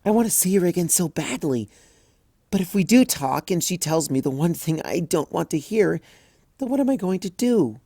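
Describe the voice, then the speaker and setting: An anxious young unicorn mare talks things through in an earnest, worried tone, as a reader puts her on. It stays near the narrator's own voice, a touch quieter, with a dry close sound. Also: medium pitch, animated, normal speed, gravelly, no room sound, pitched above the performer's own voice. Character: anxious studious young female unicorn pony.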